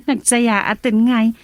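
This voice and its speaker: An actress playing a gentle married domestic helper in a radio drama, with a Filipino accent. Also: female voice, on the high side, no room sound. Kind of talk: Ilocano radio drama acting.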